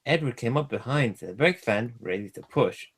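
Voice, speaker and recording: A man reading a children's storybook aloud as a storyteller, in an animated style. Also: mid-range voice, moderate pace, breathy edge. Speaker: storyteller, streamer.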